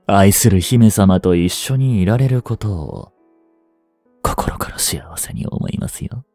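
Man acting as a low, theatrical treacherous prince. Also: warm tone. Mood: mocking, cruel. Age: 20s. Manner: slow, theatrical, courteous speech slipping into taunts. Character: treacherous captive prince, smooth-tongued spy turning cruelly mocking. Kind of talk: Japanese audio drama acting. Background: background music.

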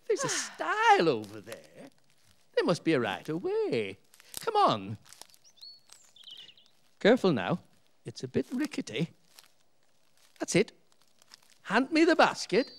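A man's voice: high voice, a bit bright, slight background noise, exaggerated voice. A man playing a jovial, teasing husband in a radio play, given to exclamations and sometimes impatient.

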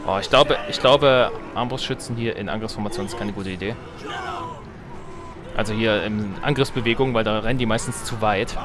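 A man's voice: fairly low pitch, moderate pace. A man giving casual let's play commentary, with joking asides and musing to himself. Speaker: let's player. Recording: game music behind the voice. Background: strategy game music and sounds.

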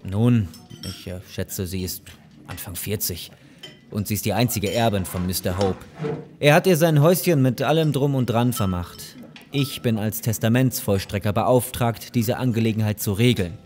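A man playing a polite, matter-of-fact lawyer in a German audio drama, measured and a little hesitant. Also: lowish voice, darkish tone, slight background noise, natural voice. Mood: businesslike.

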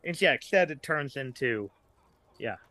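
Bright-voiced man chatting haltingly. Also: medium pitch, expressive, no echo. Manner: halting, rambling delivery with repeated words. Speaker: podcast guest, writer. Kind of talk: casual podcast chat.

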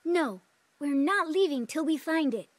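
Game kid role: defiant little treasure hunter, high voice, dry sound voiced by a woman. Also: expressive, a little slow, dry recording. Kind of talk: video game cutscene acting.

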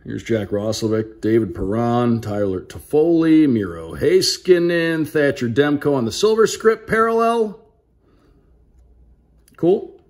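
Man with lively, animated unboxing commentary. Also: medium pitch, a little slow. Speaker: radio sports play-by-play broadcaster. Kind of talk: casual unboxing commentary, reading out names.

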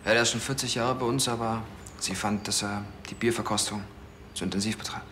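An actor playing a subdued, terse husband answering police questions in a TV crime drama. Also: male voice, fairly low pitch, steady tone, a little fast, bright, forceful tone, slight background noise. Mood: guarded. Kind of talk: naturalistic TV crime drama acting.

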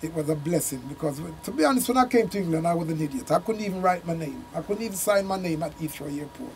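Older Jamaican man, gravelly and a touch high, unhurried storyteller, studio interview with faint hiss. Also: lively intonation, darkish tone. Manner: unhurried, rambling recollection with Jamaican turns of phrase. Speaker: reggae singer and sound system man, interview guest. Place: small studio room with screens.

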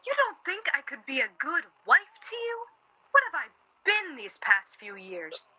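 A woman's voice: sits high, wildly swooping pitch, normal speed, pushed and bright, muffled recording, heavily acted. An actress playing a wry, teasing, affectionate composer in her late twenties in a naturalistic radio play. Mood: mock offended.

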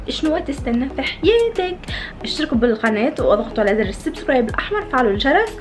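A young woman with a Tunisian accent, chatting playfully to her followers in a selfie vlog from a friend's home. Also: on the high side. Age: young adult. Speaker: vlogger. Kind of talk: casual selfie vlog talk. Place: room in a friend's home, indoors. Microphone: phone held at arm's length.

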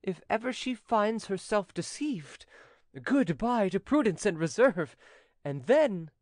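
A narrator voicing an eager, cocky young nephew in an animated, gushing manner for a storybook. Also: mid-range voice, measured pace, no room sound, clean studio sound, voice pushed up, close to an everyday voice. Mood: enthusiastic.

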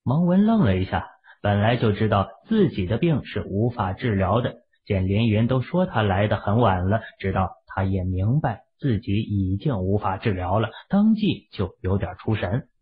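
A man's voice: fairly low pitch, big pitch swings, normal speed, phone-quality sound. A man reading a story as a scripted, dramatic audiobook narration.

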